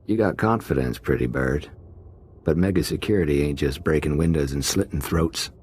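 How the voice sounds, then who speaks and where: An actor playing a rough, skeptical, streetwise prison-gang convict in a video game, with slangy, drawling tough talk and a gravelly voice. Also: male voice, normal speed, darkish tone, a little hiss or hum. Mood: gruff, skeptical. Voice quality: deep, gravelly.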